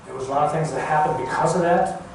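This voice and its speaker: An older man, a former air traffic controller, gives an informal talk in a plain-spoken, halting, folksy way. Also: fairly high pitch. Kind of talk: informal public talk recounting career. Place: large echoey museum room. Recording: echoey hall, distant mic, clear background noise.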